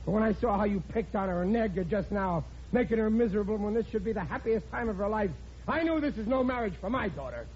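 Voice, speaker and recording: A folksy blue-collar dad, highish mellow voice, old muffled radio show. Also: male voice, animated, quick pace, few pauses. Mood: indignant. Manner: plain-spoken, ungrammatical, folksy. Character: blunt, good-hearted working-class father. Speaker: actor in radio comedy. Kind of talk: classic radio comedy acting. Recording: dull old radio recording, background hiss.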